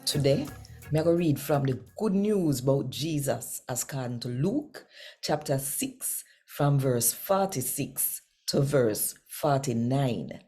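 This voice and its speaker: A Jamaican woman speaking Patois as host of a devotional scripture reading, with deliberate, emphatic phrasing. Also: rumbling low, expressive, mellow, breathy edge, faint music behind.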